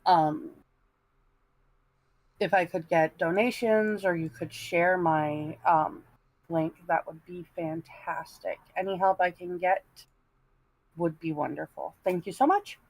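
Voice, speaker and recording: A woman on a panel stream speaking casually and plainly, in a halting way. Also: fairly low pitch, a little mellow, low-quality recording. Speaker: panel member. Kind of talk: casual, halting plug to viewers.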